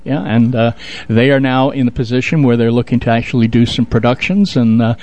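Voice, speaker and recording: A man chatting casually as a radio co-host, with a halting, talkative and promotional manner. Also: mid-range voice, darkish tone, muffled recording. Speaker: radio show co-host and local theater director.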